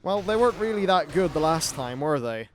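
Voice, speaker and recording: A man's voice: a little high, lively intonation, brisk, slight background noise. A man hosting a gaming video with casual commentary and wry, sweary asides.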